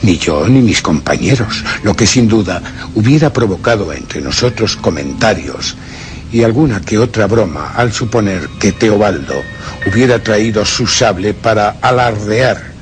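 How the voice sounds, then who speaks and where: Deep, brooding gentleman narrator. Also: lively intonation, over music. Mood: brooding deduction. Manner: measured, theatrical, deliberate storytelling. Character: brooding gentleman narrator recounting his vengeful suspicions. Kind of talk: suspense radio drama first-person narration.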